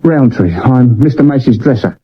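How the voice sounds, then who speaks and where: A man's voice: medium pitch, animated, a little slow, lightly acted. A man playing a prickly but loyal theatre dresser with a British accent, curt and fussy, in a 1970s radio drama on a dull old recording. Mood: prickly, wary.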